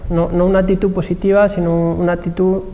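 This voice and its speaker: A man's voice: high-pitched, flat delivery, fast talker, dark tone. A man with a Spain Spanish accent talking as an expert guest in an interview, hesitant and often rephrasing as he goes. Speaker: guest expert, marketing professional and trainer. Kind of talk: conversational interview talk. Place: TV programme set, indoors. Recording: reverberant hall-like room, faint background noise.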